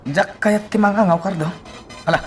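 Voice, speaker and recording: A man's voice: high-pitched, normal speed, far from the mic, lightly acted. A man playing a bossy, impatient gangster's underling in a radio drama. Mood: impatient, scolding. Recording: slightly off-mic, faint music.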